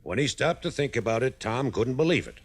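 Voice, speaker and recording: A man's voice: medium pitch, lively intonation, a little fast. An actor playing an earnest, worried American man briefing an investigator in a 1950s radio drama, telling his account insistently and emphatically. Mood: grave, insistent.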